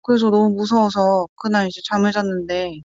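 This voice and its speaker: Female, casual rapid storyteller, mid pitch, dry muffled sound. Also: fairly even intonation, a little mellow, no room sound.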